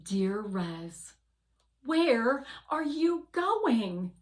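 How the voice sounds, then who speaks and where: A woman narrating a children's novel aloud in an expressive, deliberate read-aloud style. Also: on the high side.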